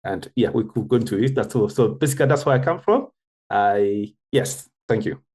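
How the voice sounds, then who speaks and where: A man talks in a roundtable discussion, earnest and full of restarts. His voice sits low, with a husky grain to it. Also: moderate pace, darkish tone. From Ghana. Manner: earnest, with frequent restarts and self-corrections. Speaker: guest, tech founder.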